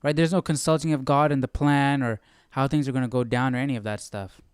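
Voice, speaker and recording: A man's voice: mid-range voice, fairly even intonation, fast, clear, resonant tone. A man hosting a live Bible study, giving casual, colloquial, spontaneous commentary. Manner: conversational, colloquial.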